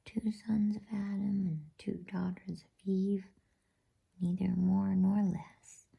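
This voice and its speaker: A woman's voice: mid-range voice, flat delivery, slow, vocal fry, dark tone, slightly rough, heavily acted. A woman voicing a coaxing, imperious, inquisitive witch queen in a whispered bedtime storybook reading.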